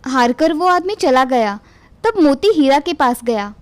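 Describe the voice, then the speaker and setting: A woman's voice: sits high, lively intonation, a little slow, no echo. A woman narrating a scripted moral story for children.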